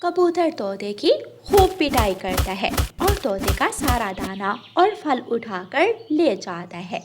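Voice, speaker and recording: Casting: female children's story narrator, lively and highish, slight noise behind. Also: slow pace.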